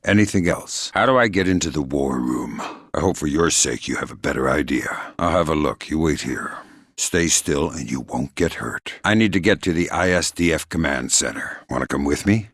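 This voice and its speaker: An actor playing a hardened, sardonic middle-aged covert operative in a video game, gruff and terse, with dry deadpan delivery. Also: male voice, extremely low pitch, normal speed, dry recording, full character voice. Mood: menacing, controlled. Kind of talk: video game character dialogue.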